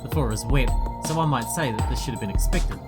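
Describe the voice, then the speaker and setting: A man hosting a gaming video, reading a visual novel's text aloud with dramatic delivery and breaking off into quiet joking asides. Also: medium pitch, animated, fast talker. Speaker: gaming channel host. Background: loud game music.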